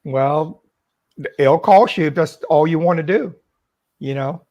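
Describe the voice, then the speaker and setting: A man on a live-stream panel offers earnest, practical advice, often stumbling over his words with "uh" and "you know." His voice is slightly higher than average for a man. He sounds like a friendly regular guest. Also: a little slow, lo-fi sound. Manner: hesitant, many "uh"s, earnest advice. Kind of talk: casual chat.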